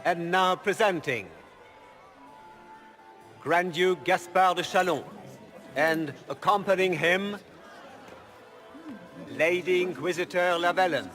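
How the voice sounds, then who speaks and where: A high-pitched, pompous court herald. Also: male voice, slow pace, pauses often, a bit bright, soft background music, a faint crowd. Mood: grand, ceremonial. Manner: ceremonious, declaiming. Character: pompous male court herald announcing noble guests. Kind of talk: formal announcing in a video game cutscene.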